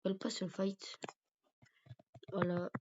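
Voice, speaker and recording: A man's voice: high voice, monotone, unhurried, darkish tone. A man with a cold and a sore throat, rambling hesitantly through casual commentary over his gameplay. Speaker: gaming YouTuber. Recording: dull lo-fi recording.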